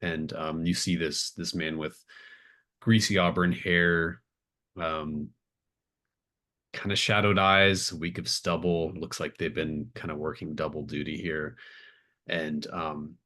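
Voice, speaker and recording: A man improvising casual narration as a game master, halting and thinking aloud. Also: extremely low pitch, level tone, bright, forceful tone.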